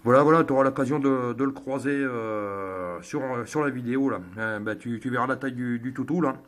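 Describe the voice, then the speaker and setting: Male amateur radio operator, medium pitch, casual two-way chat, halting delivery with repeated phrases.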